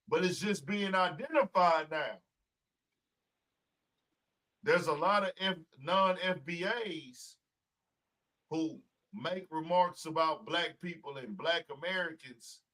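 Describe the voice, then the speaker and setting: A man hosting a casual podcast, his voice bright and forceful. He talks emphatically and profanely and keeps the conversation animated. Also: high voice, poor audio. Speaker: podcast host.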